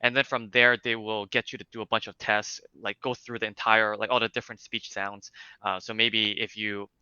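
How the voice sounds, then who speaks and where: A man's voice: lowish voice, little pitch movement, moderate pace, pushed and bright, smooth, no room sound, muffled recording. A young man with a light Cantonese accent, explaining things in a casual interview with lots of fillers and restarts. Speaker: interview guest, speech clinic founder.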